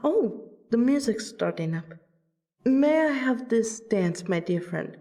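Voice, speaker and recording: A woman playing a flirtatious, courtly vampire royal in an ASMR roleplay, teasing and breathy. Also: on the high side, lively intonation, slow pace, dark tone, exaggerated voice. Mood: eager, fond.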